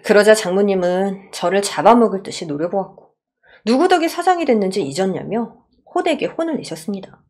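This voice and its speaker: A woman's voice: medium pitch, expressive, fairly quick, a little mellow. A woman reading a scripted first-person story as a dramatized narration.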